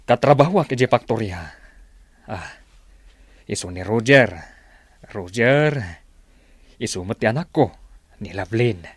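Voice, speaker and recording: A man's voice: lowish voice, expressive, measured pace, pauses often, husky, no room sound. An actor playing a hospitable middle-aged father in a radio drama. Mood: welcoming. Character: hospitable middle-aged father welcoming his daughter's suitor.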